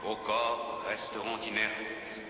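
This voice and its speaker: A man's voice: on the low side, flat delivery, slow, a little hiss or hum, full character voice. An actor playing a mighty, vengeful god in a cartoon, pompous and menacing as he declaims. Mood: solemn menace. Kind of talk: dramatic cartoon villain acting. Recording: cavernous long reverb under loud music.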